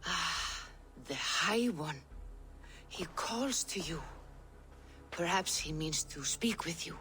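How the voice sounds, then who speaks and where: A calm Norse seeress for a video game, reverent and reassuring. Her low voice moves slowly and ceremonially, at times hushed. Light ambient noise sits behind her. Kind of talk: dramatic video game acting.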